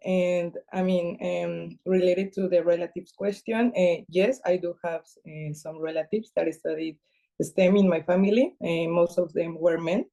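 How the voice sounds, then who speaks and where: A woman's voice: fairly low pitch, steady tone, a little slow, creaky, warm tone, muffled recording. A woman in her twenties from Mexico City, a physics graduate, introducing herself with many fillers. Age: 20s. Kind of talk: panel discussion. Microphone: video call.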